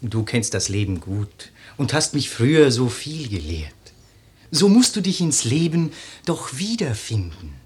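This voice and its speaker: An actor playing an earnest, admiring young gentleman and friend in a verse radio drama, speaking thoughtfully. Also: mid-range voice, very animated, measured pace. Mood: gently urging. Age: young adult.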